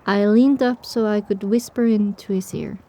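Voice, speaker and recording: A woman's voice: medium pitch, steady tone, moderate pace, mellow. A woman reading scripted first-person story narration for an audio drama.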